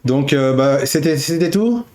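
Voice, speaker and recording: A man's voice: a little high, lively intonation, unhurried, a little mellow. A man hosting a casual chat show in a colloquial, matey way. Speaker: host.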